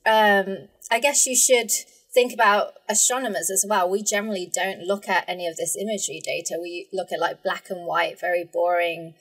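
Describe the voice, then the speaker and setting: A woman's voice: fairly low pitch, steady tone, normal speed, hardly any pauses, a bit bright. A woman speaking as a guest scientist in a casual, informal discussion.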